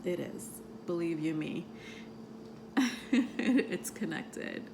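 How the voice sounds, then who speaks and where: A woman with a soothing voice, who grew up outside the United States, talking about astrology to her viewers in a meandering way full of ums and soft laughs. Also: lowish voice, animated, extremely slow, creaky, background noise. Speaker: tarot reader and astrology vlogger. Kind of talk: casual rambling talk to camera.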